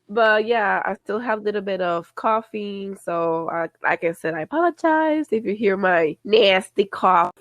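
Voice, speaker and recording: Nasal, congested woman co-host, mid pitch, dry close mic. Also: expressive, slow pace, no room sound. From Latin America. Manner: chatty, laughs often, code-switches into Spanish. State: sick with a cold, congested. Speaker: podcast co-host. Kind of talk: casual podcast chat.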